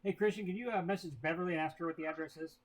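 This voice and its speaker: A man's voice: fairly high pitch, steady tone, racing. An American man from Indiana talking casually as a business client on a conference call, with informal, clipped answers. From Indiana, United States. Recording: noisy conference call audio.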